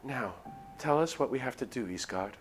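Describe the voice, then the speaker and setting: A man's voice: medium pitch, animated, moderate pace, voice pushed up, close to an everyday voice. A man voicing a brave but nervous young village beauty in a visual novel, earnest and a little hesitant. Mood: resolute. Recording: music under the voice.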